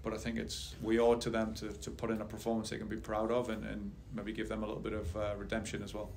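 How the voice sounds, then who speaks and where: Middle-aged male coach at a press table mic, even and unhurried, flat delivery, faint room noise. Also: on the low side, creaky. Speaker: head soccer coach. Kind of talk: post-game press conference answers. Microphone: table mic on a stand.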